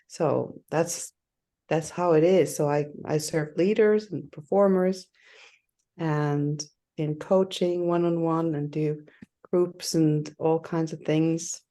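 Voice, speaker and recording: Slow, deep-voiced woman coach. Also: mellow. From Finland. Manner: loose, searching phrasing. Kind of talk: guest introducing herself.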